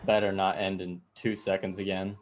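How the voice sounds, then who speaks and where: A man's voice: sits low, little pitch movement, normal speed, close to an everyday voice. A man playing a jaded, composed Japanese lord in an amateur dramatic script reading. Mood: dry, composed. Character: jaded feudal Japanese lord fond of fight nights.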